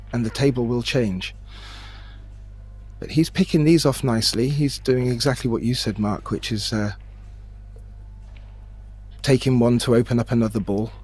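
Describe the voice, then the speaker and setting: A man giving expert pool analysis as co-commentator, thoughtful and a bit halting. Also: medium pitch. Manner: analytical, hesitant with fillers, laughs easily. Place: remote booth.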